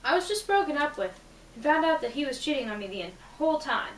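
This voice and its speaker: A woman's voice: sits high, sing-song, fast talker. A woman voicing a heartbroken but witty young heroine in a romance story, girlish and slightly pert. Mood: downcast. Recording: distant mic, roomy echo, faint noise.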